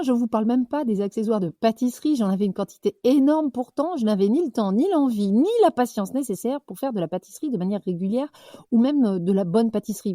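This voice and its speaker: A young woman hosting a podcast, reflecting out loud in a loose, conversational way with fillers and self-corrections. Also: fairly high pitch, dry recording, clean studio sound. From French-speaking, living abroad. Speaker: podcast host. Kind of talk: personal reflective monologue.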